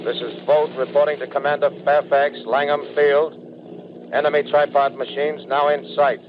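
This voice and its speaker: A man's voice: on the high side, little pitch movement, moderate pace, lightly acted. A terse army bomber pilot, voiced by a man radios clipped reports in a slightly raised voice. It comes through dull and hissy, like a 1930s broadcast. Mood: grim. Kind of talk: 1930s radio drama acting.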